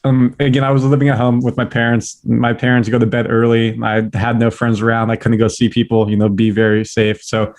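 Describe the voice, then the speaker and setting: A young man from California, a podcast guest, chatting upbeat and fast with lots of fillers. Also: mid-range voice, little pitch movement, a little mellow. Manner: upbeat, talks fast in bursts, many "like" fillers. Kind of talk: casual interview chat.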